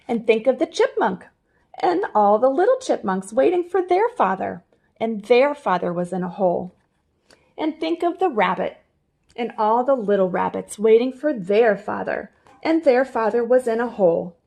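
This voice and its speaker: A woman reads a children's story aloud with a high, creaky voice. Her pitch swings widely, and she leans theatrically into the dramatic words. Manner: expressive, theatrical emphasis. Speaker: storybook reader. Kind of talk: animated children's read-aloud.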